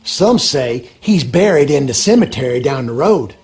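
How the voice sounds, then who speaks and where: An actor playing a gossipy, superstitious backwoods husband in a video game, with a rural Louisiana accent and folksy, colloquial talk. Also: male voice, mid-range voice, expressive, normal speed, a little mellow, natural voice. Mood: friendly, storytelling. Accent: rural Louisiana Southern American. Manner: folksy, drawling, colloquial storyteller. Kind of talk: animated game character dialogue.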